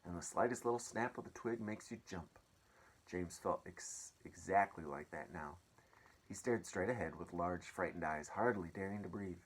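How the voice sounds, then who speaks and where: A man reading a children's story aloud as an expressive storyteller. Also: deep voice, moderate pace, husky.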